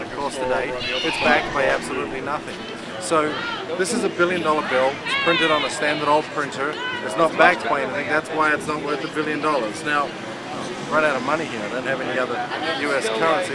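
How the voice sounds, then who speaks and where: A man with a New Zealand accent giving an earnest, explanatory campaign speech outdoors, handling props as he talks. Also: on the high side. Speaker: political campaigner speaking to camera. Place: outdoors at a busy event. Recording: loud crowd noise behind.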